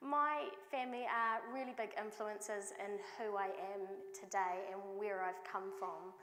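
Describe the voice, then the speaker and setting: A woman voicing a deaf speaker's signed talk as a live interpreter, measured and often restarting or correcting herself mid-phrase. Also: medium pitch, steady tone, fairly bright tone. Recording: long hall reverb.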